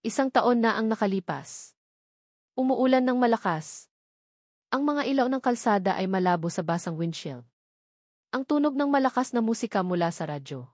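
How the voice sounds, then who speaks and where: A woman reading a romantic drama aloud as its narrator, earnest and measured. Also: fairly high pitch, lively intonation, darkish tone, dry recording, clean studio sound. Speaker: storyteller.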